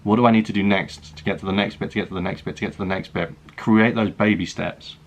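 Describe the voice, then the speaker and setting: A man in his thirties from the UK speaks with a very low, deep voice. He talks earnestly and reflectively to camera in a personal vlog. His delivery is halting, full of restarts and "you know". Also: fairly even intonation. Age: 30s. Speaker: food and travel vlogger.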